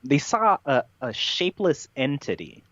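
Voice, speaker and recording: A man's voice: fairly high pitch, sing-song, a little slow, a bit bright, no room sound, dull audio. A man being interviewed in a casual conversation, telling things in a rambling, matter-of-fact way. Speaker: podcast guest, interviewee.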